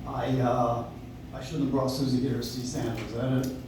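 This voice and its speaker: A man playing a mild, friendly gentleman in an amateur live radio play, hesitant and conciliatory. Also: medium pitch, flat delivery, moderate pace, lightly acted. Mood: apologetic. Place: coffee house stage, recorded from the audience. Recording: distant audience recording, very echoey, noisy.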